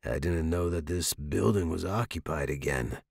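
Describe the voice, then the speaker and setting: A man voicing a creepy, gruff, graying cab driver with a crackly voice in an audio story. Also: a bass voice, moderate pace, darkish tone, no echo, clean studio sound, pitched below the performer's own voice, exaggerated voice. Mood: ominous, gruff. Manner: gruff, huffing.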